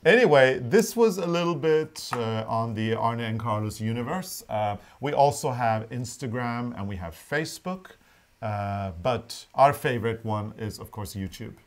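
A middle-aged man sits at a table in his living room, chatting and laughing with his co-host as he talks to the camera. His pitch is in the usual middle range for a man. He keeps a playful, easy tone. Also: expressive, moderate pace. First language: Norwegian. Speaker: co-host of a knitting channel. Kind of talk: casual chat to camera.

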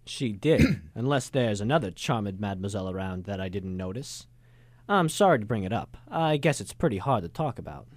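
A man's voice: fairly low pitch, lively intonation, fairly quick, dry recording. A man playing a cynical, world-weary ex-journalist who narrates a hardboiled noir radio drama in slangy, wry style. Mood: wry, casual.

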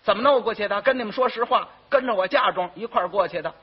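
A man voicing a shrewd, outspoken younger sister in a comic tale, brisk and scolding, with a Beijing accent. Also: high-pitched, slightly rough. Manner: brisk, scolding, taking charge. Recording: muffled, dull old recording.

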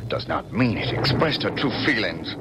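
A proud colonel in a 1970s radio drama. His very low voice is formal and courteous, on a heavily noisy old radio recording. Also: sing-song, moderate pace. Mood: indignant.

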